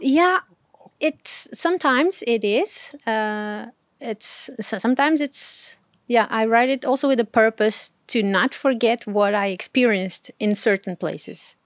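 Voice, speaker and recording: A woman in her thirties from Portugal with a Portuguese accent, a travel blogger chatting as an interview guest, soft-spoken and hesitant, laughing often. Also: on the high side, a little slow, no room sound, clean studio sound. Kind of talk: casual interview chat.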